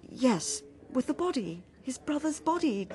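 A woman's voice: high-pitched, big pitch swings, unhurried, darkish tone. A woman playing a reluctant journalist in a radio drama, speaking conversationally and hesitantly with a British accent. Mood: reluctant, sombre. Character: chatty female journalist turned reluctant bearer of grim news.

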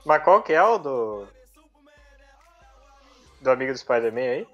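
A man's voice: medium pitch, sing-song, unhurried, lo-fi sound, soft background music. A young man with a Brazilian Portuguese accent who chimes in with short, laid-back remarks on a casual podcast. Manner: brief, laid-back interjections. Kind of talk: casual chat.

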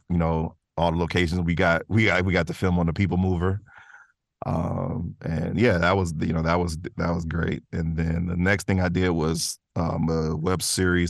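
Man, deep voice, dry close sound, modest easygoing manner, casual conversational storytelling. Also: gravelly, no room sound. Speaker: interview guest, voice actor and creator.

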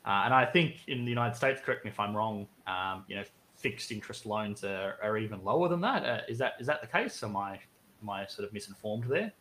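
A man's voice: fairly low pitch, lively intonation, brisk, a bit bright. A man in a casual economics discussion, conversational and given to voicing imagined speakers. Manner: conversational, acts out hypothetical speakers.

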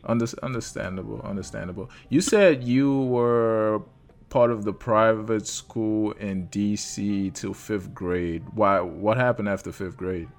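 A man's voice: on the low side, a little slow. A man interviewing a guest in casual conversation, friendly and affirming. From Cameroon. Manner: friendly, affirming, lots of okays.

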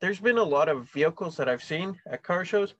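A slightly high-voiced male podcast host talks in a relaxed, agreeable way. He chimes in with easy affirmations. The recording is dry and a little dull, like a lo-fi mic. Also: brisk, no room sound. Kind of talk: casual interview chat.

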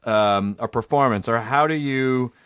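A man's voice: mid-range voice, measured pace, a bit bright, clear, resonant tone, no echo, studio quality. A man hosting a casual, playful interview, often repeating himself for effect. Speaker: interviewer, show host. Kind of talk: casual joking interview chat.

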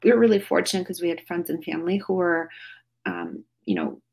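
Husky woman with a gravelly, low voice. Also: big pitch swings, brisk, darkish tone. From Russia. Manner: chatty, rambling with fillers and restarts. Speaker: podcast guest. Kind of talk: casual remote interview. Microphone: phone or video call.

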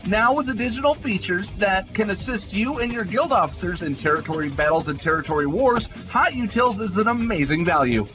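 A male announcer reads scripted promotional copy in a high register. His intonation is animated and moves widely, with faint music running under the voice. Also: brisk, hardly any pauses, poor audio. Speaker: advertisement announcer.

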